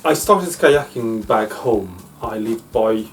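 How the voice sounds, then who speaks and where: Man, casual, easygoing, somewhat low voice, distant mic. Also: expressive, slow pace, slight background noise. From Ukraine. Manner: easygoing, with frequent "uh" and non-native grammar. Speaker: interviewee. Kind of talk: casual interview chat. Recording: distant mic with faint music.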